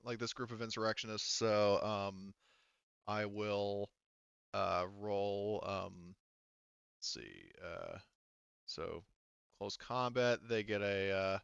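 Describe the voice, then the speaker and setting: A man acting as game master, narrating play and rules casually in a halting, thinking-aloud way. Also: on the low side, vocal fry, fairly bright tone, smooth, no room sound, lo-fi sound.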